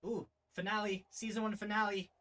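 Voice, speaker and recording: A man's voice: high-pitched, level tone, brisk, pushed and bright, slight background noise. A man speaking casually as a streamer during a visual novel read-along.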